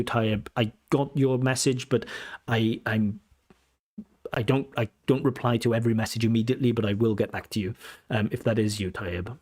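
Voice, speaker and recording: A man's voice: mid-range voice, a little mellow. A man from Wales with a Welsh accent, an examiner hosting a casual livestream Q&A, talking in a chatty, self-assured way with many ums and restarts. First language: English. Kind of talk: casual livestream Q&A, reading viewer comments.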